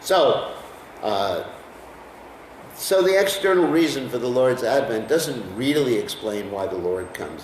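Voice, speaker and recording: A man's voice: medium pitch, reverberant, distant mic, slight background noise, poor audio. An American man teaching a scripture class in a conversational way, often putting questions to his listeners. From United States. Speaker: lecturer on scripture.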